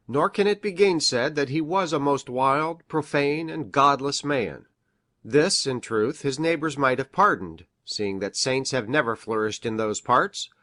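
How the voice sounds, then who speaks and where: A man acting as an earnest male doctor in an audiobook drama, recorded close and dry. His mid-pitched voice is formal and solemn, telling an old-world tale with measured care. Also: fairly bright tone, no room sound, natural voice. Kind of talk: dramatic audiobook reading of archaic narrative.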